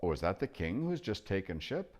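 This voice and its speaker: A man's voice: low voice, big pitch swings, fairly quick, a little mellow. A man voicing an earnest, polite schoolboy.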